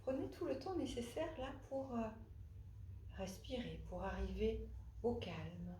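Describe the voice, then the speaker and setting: A woman leading a guided relaxation as a yoga nidra instructor, speaking haltingly with long pauses and frequent hesitations. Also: on the high side, sing-song, warm tone. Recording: roomy echo, faint background noise.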